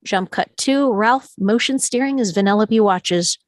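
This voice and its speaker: A woman's voice: medium pitch, a little slow, dry recording. A woman reading stage directions as the narrator of a live script table read.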